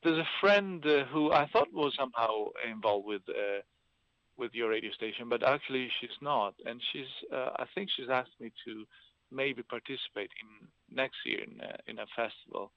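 A man's voice: mid-range voice, moderate pace, dry recording. A man from Greece, Italian as first language, talking hesitantly with many fillers as a musician guest in an interview. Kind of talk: interview over a call. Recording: dull, dry line.

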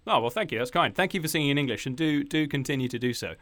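A man's voice: medium pitch, lively intonation, rapid-fire, fairly bright tone, no echo, studio quality. A man hosting a radio interview, asking friendly, rambling questions with many ums. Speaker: radio presenter.

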